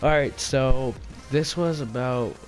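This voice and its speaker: A man's voice: medium pitch, slow, soft background music. A young man telling stories in a casual, brash, profanity-laced and teasing chat.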